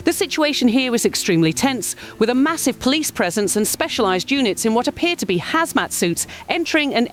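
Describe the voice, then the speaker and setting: A woman's voice: medium pitch, natural voice. A woman playing a field news reporter, formal, precise and controlled in a scripted live report. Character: serious female British field news reporter.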